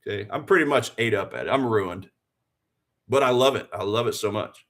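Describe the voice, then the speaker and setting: A man's voice: lowish voice, animated, fairly quick, fairly bright tone. Fired-up man in his forties. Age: 40s. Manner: earnest and fired up, repeats phrases for emphasis, asks viewers rhetorical questions. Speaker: livestream trading host. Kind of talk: live-stream motivational chat and trading commentary.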